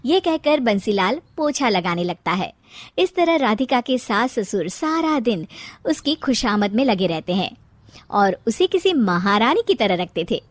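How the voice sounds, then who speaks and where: A woman's voice: on the high side, big pitch swings, unhurried, dry recording. A woman narrating a scripted cartoon family story in a storytelling style.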